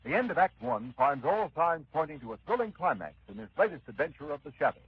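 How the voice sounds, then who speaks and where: A man's voice: fairly high pitch, big pitch swings, fast talker, creaky, husky. A man working as a 1930s radio announcer, reading advertising copy with emphatic, polished delivery. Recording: muffled 1930s radio recording.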